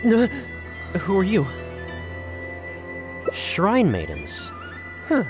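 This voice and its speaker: A man's voice: high-pitched, huge pitch swings, very slow, music in the background, full character voice. A man playing a frustrated creative visitor in a video game, musing and grumbling. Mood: frustrated, curious.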